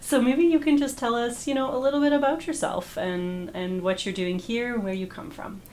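A woman's voice: on the high side, steady tone, normal speed, a little mellow, slight background noise. Friendly woman hosting a podcast. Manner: friendly, encouraging, many fillers. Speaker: podcast host. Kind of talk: podcast interview. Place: radio studio.

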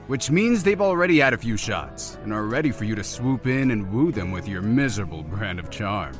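A man's voice: fairly low pitch, animated, fairly quick, faint music behind, close to an everyday voice. A man playing a blunt, teasing young best friend in an audio drama, sarcastic in manner. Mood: blunt, goading. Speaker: voice actor.

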